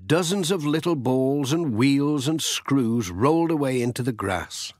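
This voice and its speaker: A man's voice: mid-range voice, animated, a little slow, no room sound, studio quality. A man narrating a children's audiobook story.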